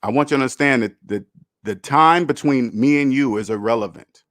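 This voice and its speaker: A man's voice: medium pitch, fairly quick, a bit bright, no room sound, clean studio sound. A man in his fifties hosting a call-in money talk, emphatic and repeating his points. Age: 50s. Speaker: host.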